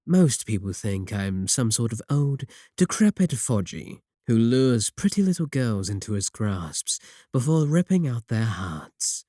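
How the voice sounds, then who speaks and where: A man playing a courteous, manipulative warlock shopkeeper in a roleplay audio, coaxing and flattering his guest with polite, unhurried talk. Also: medium pitch, dry recording, clean studio sound. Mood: smooth, persuasive. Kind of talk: second-person roleplay audio acting.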